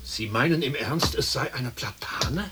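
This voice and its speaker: An actor playing a courteous, fatalistic gentleman in a radio play, speaking formally on a crackly old recording. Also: mid-range voice, lively intonation, a little slow, lightly acted. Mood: apologetic. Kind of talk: stereo radio play acting.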